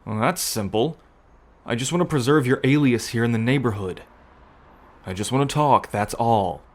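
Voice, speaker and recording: A man playing a weary, apologetic estranged brother in an ASMR audio drama, speaking in a measured, pleading manner. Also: mid-range voice, natural voice. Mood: pleading, wry.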